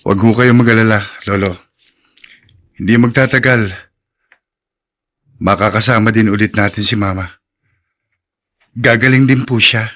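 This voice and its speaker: Man playing a bright-voiced, earnest young doctor. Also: fairly low pitch, fairly even intonation, moderate pace, long pauses, close to an everyday voice. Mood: earnest, reassuring. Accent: Filipino. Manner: respectful, sincere. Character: earnest, dutiful young male doctor and grandson. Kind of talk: Filipino radio drama acting.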